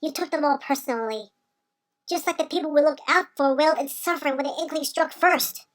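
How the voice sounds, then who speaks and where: Casting: woman playing small girl pup, grave and empathetic, high-pitched, fan audio drama. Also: brisk, lightly acted. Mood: grave concern. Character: serious, empathetic young female pup named Sky. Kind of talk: amateur audio drama acting.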